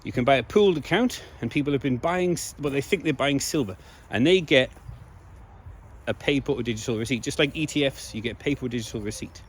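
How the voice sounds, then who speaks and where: Slightly hoarse man vlogging outdoors. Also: mid-range voice, fast talker. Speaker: video blogger. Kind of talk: casual monologue to viewers.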